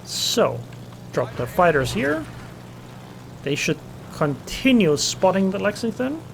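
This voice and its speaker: Gamer man, slightly high, thinks aloud over loud game sounds. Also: sing-song, slow, creaky, a little hiss or hum. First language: Estonian. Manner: thinks aloud, muttering plans and small reactions. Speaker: gameplay commentator. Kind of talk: casual live gameplay commentary. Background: game engine and gunfire sounds.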